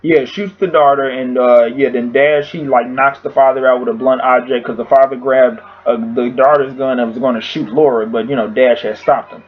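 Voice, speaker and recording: A man with a hoarse voice casually recapping a TV episode at home, rambling with lots of fillers. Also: medium pitch, steady tone, moderate pace, few pauses, narrow, tinny audio. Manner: rambling, filler-heavy storytelling. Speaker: YouTube reviewer.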